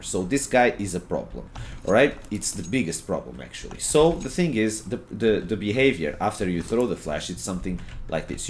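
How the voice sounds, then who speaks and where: A young man with a Portuguese accent, speaking English as a second language, talks through a game tutorial from home. His voice sits low and he keeps a loose, casual pace, full of "uh" and restarts. Faint background noise runs under the recording. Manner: relaxed, halting, many fillers and restarts. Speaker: gaming tutorial creator.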